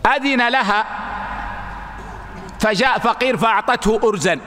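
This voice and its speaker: A man giving a religious lesson, explaining texts in a measured, teaching way and addressing his listeners as brothers. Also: sits high, pushed and bright, in a large hall.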